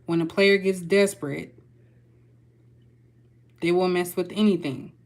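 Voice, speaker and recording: A woman's voice: on the low side, steady tone, measured pace, a little mellow, slight background noise. A young woman with a Texas accent giving a casual, chatty tarot reading and talking loosely to her viewers. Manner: chatty, loose, folksy with y'all. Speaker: tarot reader.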